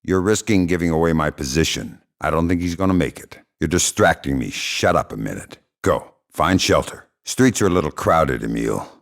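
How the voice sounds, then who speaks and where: An actor playing a hardened middle-aged spy in a video game, gravelly, terse and deadpan, with dry sarcasm and menace. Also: male voice, rumbling low, moderate pace, hardly any pauses, exaggerated voice. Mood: terse, focused. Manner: terse, deadpan, dryly threatening.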